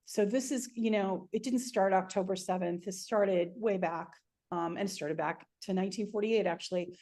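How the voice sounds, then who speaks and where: A woman hosting an interview, speaking emotionally and haltingly, with frequent restarts. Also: medium pitch, warm tone. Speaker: show host.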